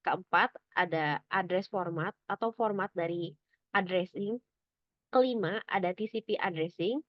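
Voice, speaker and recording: A young woman giving a scripted academic presentation as a student, in a measured, explanatory, somewhat halting manner. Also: lowish voice, dry recording, muffled recording. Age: young adult.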